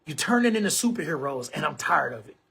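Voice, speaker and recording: A man's voice: fairly high pitch, sing-song, quick pace, creaky, a bit bright, breathy edge. A man in his forties hosting an online show, talking casually to camera in an emphatic, repetitive way and often calling listeners bro and man. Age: 40s.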